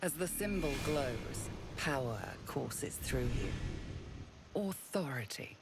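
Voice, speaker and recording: A woman narrating a game in a measured, descriptive tone. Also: low-pitched, lively intonation, over music, exaggerated voice. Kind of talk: scripted narration. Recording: noisy background.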